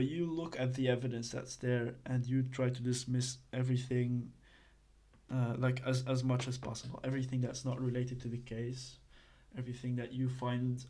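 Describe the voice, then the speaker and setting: Hesitant man, flat voice. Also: medium pitch. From Belgium. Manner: hesitant, many fillers and restarts. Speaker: instructor. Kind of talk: casual explanatory lesson.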